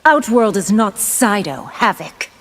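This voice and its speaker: Firm noble princess. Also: female voice, medium pitch, very animated, unhurried, crowd noise.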